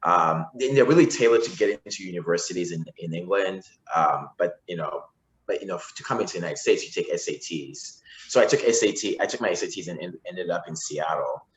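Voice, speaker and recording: Deep-voiced Zimbabwe-raised man, forties, casual rambling guest in an echoey room. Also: a little fast. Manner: rambling with frequent restarts and fillers. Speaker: podcast guest. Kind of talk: casual interview conversation. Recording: roomy echo.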